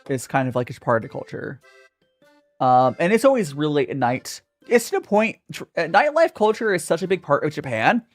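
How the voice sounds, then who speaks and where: A young man chatting through a relaxed stream Q&A, his voice swinging up and down animatedly. He stumbles and restarts his sentences often as he reads chat questions aloud. Soft music plays quietly underneath. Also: fairly high pitch. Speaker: streamer answering viewer questions. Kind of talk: casual livestream Q&A.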